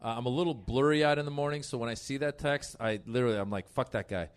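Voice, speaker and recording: A man's voice: medium pitch, fast, clean tone. A man chatting and joking casually as a comedian guest on a live panel.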